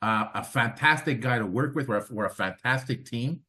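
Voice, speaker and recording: A man's voice: medium pitch, brisk, pushed and bright. A man hosting a casual interview podcast, talking enthusiastically and repeating phrases for emphasis. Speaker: podcast host.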